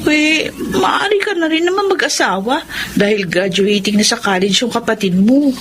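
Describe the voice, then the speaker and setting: A woman's voice: fairly high pitch, animated, moderate pace, noisy background, close to an everyday voice. A young woman with a Filipino accent playing a sympathetic, curious friend in a TV drama, conversational and inquisitive. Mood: curious, urging.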